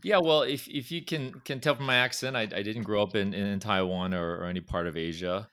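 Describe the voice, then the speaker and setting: A man's voice: lowish voice, a little fast, a bit bright, dry recording. A middle-aged man from Southern California with a Californian accent, speaking as a company chairman in a conversational interview, often repeating words as he gathers his thoughts. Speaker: pharmaceutical company chairman, guest.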